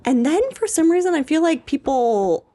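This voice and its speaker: A woman's voice: high voice, expressive, moderate pace, dark tone. A woman in her twenties co-hosting a podcast, chatting in an informal, reactive, blunt way. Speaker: podcast co-host, English PhD student. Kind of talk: casual chat.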